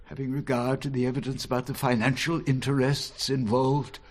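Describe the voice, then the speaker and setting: A man playing a solemn, measured church court judge in a radio drama, grave and formal. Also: medium pitch, level tone, darkish tone, natural voice. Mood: solemn, decisive.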